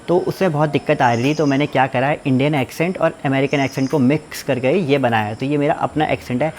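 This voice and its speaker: A young man talks fast in a relaxed home vlog. His speech is informal and a little repetitive as he explains things in his own words. His pitch sits in the middle of the range. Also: slight background noise. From Uttarakhand, India. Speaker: vlogger. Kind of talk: casual family vlog chat. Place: village home in the hills.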